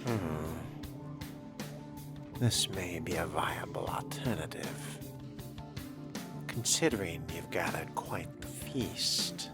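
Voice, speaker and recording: A man's voice: low-pitched, slow, long pauses. A man playing a suave, predatory vampire in video game role-play, speaking formally and theatrically in a sardonic, often whispery murmur. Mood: sly musing. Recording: voice over loud game music.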